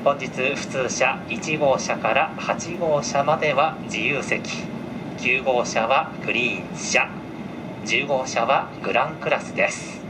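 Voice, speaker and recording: A male train conductor speaks at a middle pitch in formal, courteous announcement style. His measured words come over the car's PA, with clear ventilation and vehicle noise around them. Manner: very polite, measured, formal phrasing. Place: inside a train car. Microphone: camera picking up cabin loudspeaker.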